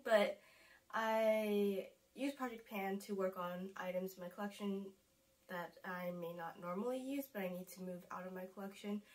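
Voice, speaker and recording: Young female vlogger, nasal and congested from a cold, casual rambling to-camera talk, mid pitch. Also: rough recording. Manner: chatty, rambling, self-correcting, laughs at herself. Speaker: beauty vlogger.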